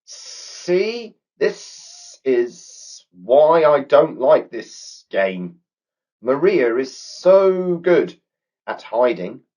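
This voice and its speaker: A fussy talking snake with a high, whiny voice that swoops all over the place, as a man puts him on. His delivery is slow and drawn out, and the voice sounds husky and a little dull. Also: very animated, darkish tone, full character voice. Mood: pleading. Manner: whiny, pleading, hissing.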